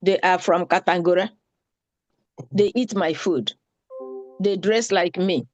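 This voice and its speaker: Slow, earnest woman making an appeal. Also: fairly low pitch, a little mellow, lo-fi sound, faint music behind. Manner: slow, pleading, repeats for emphasis. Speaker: town hall participant. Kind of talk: earnest spoken appeal at an online meeting.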